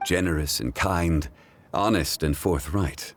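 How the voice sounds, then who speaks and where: A man voicing an ancient, wise, scholarly gentleman in a video game cutscene, speaking in a formal, measured and reflective way. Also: deep voice, a little hiss or hum. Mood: warm regard. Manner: formal, measured, eloquent, contemplative.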